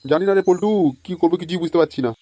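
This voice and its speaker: A man's voice: high voice, steady tone, racing, dark tone, natural voice. A man voicing a fretful, foul-mouthed grandfather in a comedy cartoon, scolding and blunt. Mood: worried. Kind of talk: amateur comedy cartoon voice acting. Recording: noisy home-made cartoon dub.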